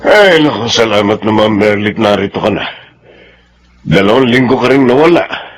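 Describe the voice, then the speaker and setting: A man's voice: fairly low pitch, expressive, moderate pace, soft background music. A Filipino actor playing an exasperated doctor who complains and scolds his assistant in a radio drama.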